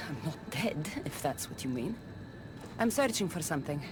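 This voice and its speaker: A woman's voice: low voice, animated, moderate pace, music in the background. An actress playing a tough, wry female warrior in a video game, speaking in a direct, dry, questioning way. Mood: brisk questioning. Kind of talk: video game dialogue acting.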